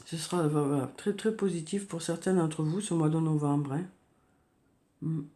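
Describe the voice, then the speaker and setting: A woman with a cold giving a tarot reading, talking softly and hesitantly with many fillers and repeated words. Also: deep voice, normal speed. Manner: soft, hesitant, frequent "hein" and "euh", repeats words. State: has a cold, coughing. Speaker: tarot card reader. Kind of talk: monthly horoscope card reading.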